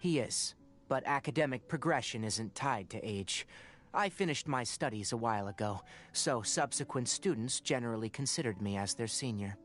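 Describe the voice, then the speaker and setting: A woman playing a reserved young academic in a video game, speaking in a measured, matter-of-fact way. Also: rumbling low, over music. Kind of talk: video game dialogue acting.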